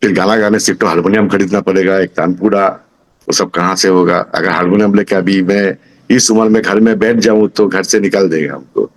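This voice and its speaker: A man in his sixties from Kolkata with a Bengali accent, giving an informal testimonial as a student, halting as he searches for words. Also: sits low, steady tone. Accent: Bengali-accented Hindi. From Kolkata, India. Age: 60s.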